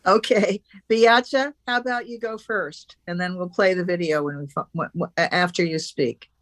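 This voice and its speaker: A woman's voice: on the low side, moderate pace, fairly bright tone, dry recording. A woman hosting an online event, introducing a speaker with many hesitations and restarts. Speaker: event host.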